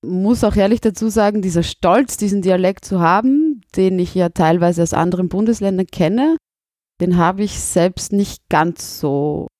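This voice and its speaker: A woman's voice: on the low side, moderate pace, a little mellow, no room sound, clean studio sound. A woman teacher from Burgenland with an Austrian accent, answering interview questions in a thoughtful, candid way.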